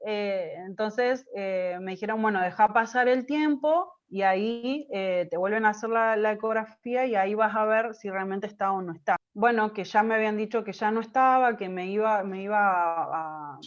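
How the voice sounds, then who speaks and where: A woman from Argentina with an Argentine Spanish accent, telling a personal story as an interview guest, pausing often with fillers and restarts. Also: medium pitch, dull audio. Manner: hesitant, many fillers and restarts. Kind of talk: personal testimony in conversation.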